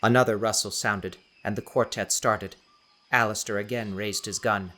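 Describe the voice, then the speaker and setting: A male narrator reads dramatic fiction for an audiobook. His voice is low-pitched but bright and forceful, and he tells the story in a theatrical, deliberate way. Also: steady tone.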